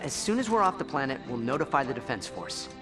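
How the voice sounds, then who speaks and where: An actor playing a young, cocky, good-hearted hero in a game cutscene, casual and wry, with music behind. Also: male voice, medium pitch, big pitch swings, fast talker, natural voice. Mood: reluctant. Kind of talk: animated game cutscene acting.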